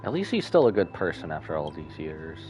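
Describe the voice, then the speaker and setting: A man's voice: a bass voice, huge pitch swings, a little fast, a little mellow, faint music behind. A man live-streaming a game, giving casual reaction commentary and musing aloud in short remarks.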